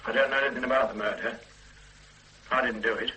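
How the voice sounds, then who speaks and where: An actor playing a weary, regretful middle-aged man under police questioning in a radio play, speaking in a halting, hesitant way. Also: mid-range voice, steady tone, a little hiss or hum, lightly acted, low-quality recording. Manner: halting, hesitant confession with quiet weary asides. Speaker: murder suspect under questioning. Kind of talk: 1970s-80s radio play acting.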